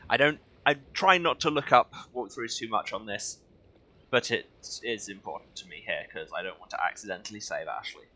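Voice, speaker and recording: A man's voice: on the low side, lively intonation, a little fast, bright, forceful tone. A man giving casual, wry, conversational commentary as host of a video game playthrough. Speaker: let's play host.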